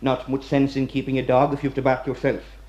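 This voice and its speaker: Mellow aging Irish prison warder, played by a man. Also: mid-range voice, monotone, quick pace, lightly acted. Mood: solemn, musing. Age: middle-aged. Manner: deferential, homely, ruminative. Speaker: actor. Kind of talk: radio play dialogue. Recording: dull, muffled radio recording.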